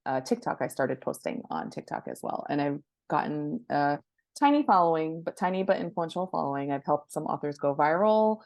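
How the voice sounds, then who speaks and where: A woman guest expert giving conversational interview answers, haltingly rephrasing as she goes. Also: deep voice, animated, darkish tone, no echo. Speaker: guest expert, book marketing professional.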